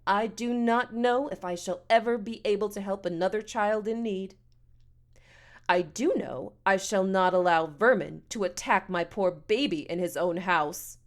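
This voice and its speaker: A woman's voice: medium pitch, unhurried, lightly acted. A woman voicing a haughty, self-righteous foster mother in an audiobook, with stilted, formal diction and a pompous manner. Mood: indignant, haughty. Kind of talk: audiobook character dialogue.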